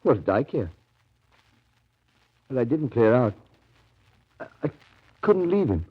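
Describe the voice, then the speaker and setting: An actor playing a frantic, devoted Russian camp follower with a husky voice in a 1930s radio drama. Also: male voice, mid-range voice, very animated, slow, exaggerated voice. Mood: agitated, rambling. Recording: muffled 1930s radio broadcast.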